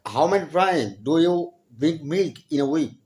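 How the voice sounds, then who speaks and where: A man's voice: fairly high pitch, expressive, darkish tone. Young Bangladeshi man learning English. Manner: halting learner's English, eager, repeats words. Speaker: channel host practicing English with strangers. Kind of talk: casual video chat with a stranger. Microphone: video call.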